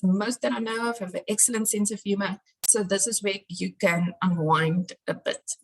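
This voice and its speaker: A woman's voice: mid-range voice, creaky, breathy edge, low-quality recording. A middle-aged woman, giving an earnest conference talk on crime analysis, with frequent ums. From South Africa.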